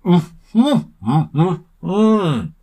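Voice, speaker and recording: Man putting on an excitable, high-voiced bossy adventurer. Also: moderate pace, breathy edge, heavily acted. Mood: determined. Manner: exclaiming, interrupting. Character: excitable, bossy, impatient male adventurer named Sam.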